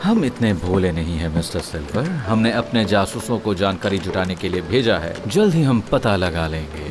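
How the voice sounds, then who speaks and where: A man's voice: low-pitched, lively intonation, moderate pace, over music. A man voicing a shrewd, distrustful pirate leader in a dubbed cartoon, assertive and questioning. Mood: suspicious.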